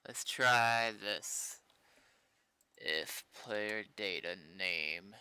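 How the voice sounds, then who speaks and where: A man's voice: fairly low pitch, very slow, bright, forceful tone, clear, resonant tone. A man thinking aloud casually in short, muttered fragments while he works at a computer, as a streamer would. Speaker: streamer coding a game server. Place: indoors at a computer.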